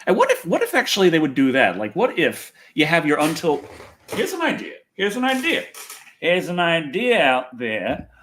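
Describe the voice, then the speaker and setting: A man hosting a livestream and reviewing films in casual, excitable, rambling chat, repeating himself and swearing freely, with a husky voice. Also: fairly high pitch, normal speed, slight background noise. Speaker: livestream host and film reviewer.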